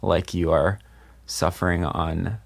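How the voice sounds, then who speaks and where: Very deep-voiced man hosting a podcast. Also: little pitch movement, slow pace, gravelly. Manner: teasing, leads the talk. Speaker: podcast host. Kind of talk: casual chat.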